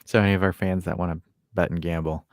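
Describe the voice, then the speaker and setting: Low-voiced, joking American podcast host. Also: male voice, level tone, fast talker, dry recording, clean studio sound. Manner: joking, self-mocking, reads out fan comments. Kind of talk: casual chat.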